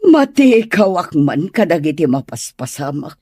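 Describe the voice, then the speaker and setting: A woman with a northern Philippine accent playing a stern, suspicious older sister in an audio drama, scolding and quick to question. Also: sits low, big pitch swings, mellow, slightly rough, no echo, studio quality, exaggerated voice.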